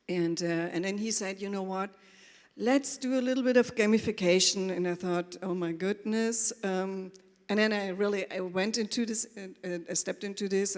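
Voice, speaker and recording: A woman telling her story informally and a little haltingly as a panelist, with quoted asides. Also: on the low side. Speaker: panelist, corporate trainer. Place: conference hall, before an audience. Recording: echoey hall with long reverb.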